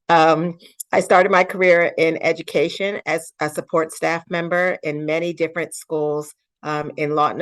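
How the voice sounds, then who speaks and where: Woman, 50s, deep voice, monotone, chatty district officer, video call. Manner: warm, chatty, joking. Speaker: school district chief equity officer. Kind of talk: self-introduction on a video call.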